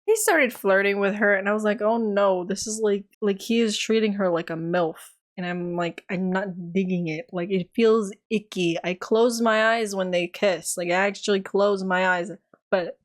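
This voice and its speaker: Animated young woman ranting in a vlog. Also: medium pitch. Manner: animated, exasperated, dramatic outbursts. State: voice getting tired. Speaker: YouTuber reviewing a TV series. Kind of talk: casual ranting review vlog.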